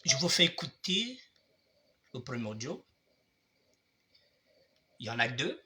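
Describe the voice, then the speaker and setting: This is an older man with a cold who hosts a commentary show. His voice is strikingly bright and sits at an ordinary male pitch. He addresses his listeners formally and repeats himself for emphasis. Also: sing-song, measured pace. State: has a cold. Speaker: online show host. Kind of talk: monologue commentary.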